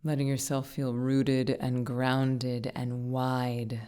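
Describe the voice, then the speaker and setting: Woman, meditation guide, deep low voice, soft mellow tone, even intonation, short phrases, gentle pauses. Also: clean tone. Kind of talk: guided meditation, slow soothing instruction.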